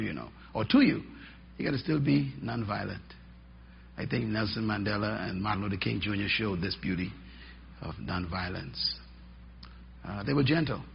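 A middle-aged man preaching a teaching sermon, emphatic and folksy, swinging between loud stresses and quiet drops. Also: mid-range voice, vocal fry, slight background noise. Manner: emphatic, rising and dropping for effect, folksy asides. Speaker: pastor. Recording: dull lo-fi sermon recording.

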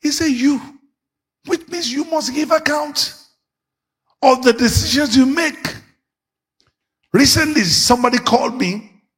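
A male pastor preaching a sermon, dropping his voice low and then bursting into shouts. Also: a little slow, pauses often. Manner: preaching with sudden shouts and dramatic swings in pitch.

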